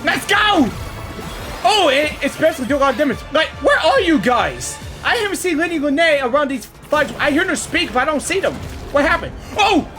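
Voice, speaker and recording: A man reacting to a boss fight as he plays, exclaiming and shouting constantly in excitement. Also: very high-pitched, a little fast, soft background music. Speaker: gaming channel host. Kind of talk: live gameplay reaction.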